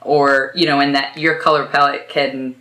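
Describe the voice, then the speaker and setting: A woman's voice: a bass voice, little pitch movement, moderate pace, a bit bright. A woman hosting a podcast interview, reflecting back and summarizing the guest's points at length. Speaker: podcast host. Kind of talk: interview conversation. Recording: roomy echo.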